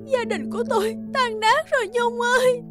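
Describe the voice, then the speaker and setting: Extremely high female voice, despairing cartoon wife, tearful, betrayed, music behind. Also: steady tone, normal speed. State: crying. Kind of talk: animated cartoon melodrama. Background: background music.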